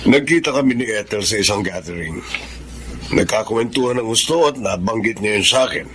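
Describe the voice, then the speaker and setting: A Filipino actor playing a caring older brother who gently scolds and counsels in a radio drama. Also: male voice, on the low side, expressive, brisk, background noise, close to an everyday voice. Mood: earnest, advising.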